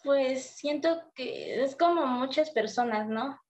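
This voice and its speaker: A woman's voice: high voice, slow, over loud music. A young woman answering interview questions hesitantly, with many fillers. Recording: dull lo-fi sound.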